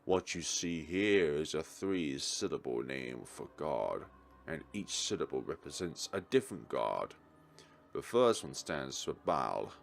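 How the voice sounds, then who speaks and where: Man putting on an ominous, very deep hooded prophet. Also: big pitch swings, vocal fry, full character voice. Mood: ominous, grand. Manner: slow, solemn, portentous. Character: ominous hooded man, cryptic, solemn scripture-quoting prophet.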